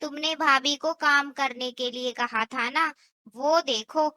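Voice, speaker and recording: A spiteful young sister-in-law played by a female narrator in a cartoon moral story. Her very high, bright voice complains sarcastically at an unhurried pace, like a tattletale. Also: fairly even intonation, no echo, clean studio sound, exaggerated voice. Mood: complaining.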